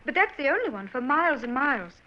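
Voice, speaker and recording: A woman's voice: high-pitched, very animated, fast. A nervous young Victorian lady. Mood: worried. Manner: hesitant, breathless and genteel. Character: anxious young Victorian woman, polite and earnest. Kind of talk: 1940s radio acting. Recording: dull old radio broadcast.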